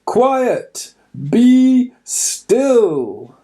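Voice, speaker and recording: A man reading a children's storybook aloud, voicing a calm, commanding grown man who speaks with firm authority. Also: very high voice, extremely slow, voice pushed up, full character voice.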